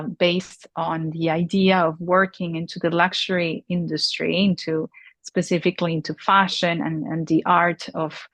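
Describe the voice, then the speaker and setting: A woman in her forties from Peru, answering interview questions in a halting way with many fillers and restarts. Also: on the low side, a bit bright, no room sound. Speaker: luxury industry guest. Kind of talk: conversational interview.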